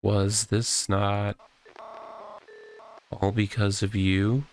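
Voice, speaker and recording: A man's voice: lowish voice, flat delivery, a little hiss or hum. A man voicing a needy, self-pitying police officer from a visual novel, stammering and repeating himself. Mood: accusing, intense. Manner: halting, stammering, repetitive.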